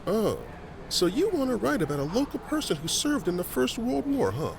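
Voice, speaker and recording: A man's voice: sits high, huge pitch swings, moderate pace, gravelly, a crowd in the background, heavily acted. A man playing a chatty, helpful middle-aged history society volunteer in an audio drama, explaining things in a folksy way. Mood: friendly, helpful.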